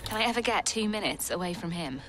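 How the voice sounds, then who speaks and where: A woman playing a bossy, distrustful actress in her thirties in a video game, sharp-tongued and exasperated. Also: on the low side, animated, fast talker, loud music behind the voice, natural voice. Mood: wary, dry. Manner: commanding, exasperated. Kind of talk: video game drama acting.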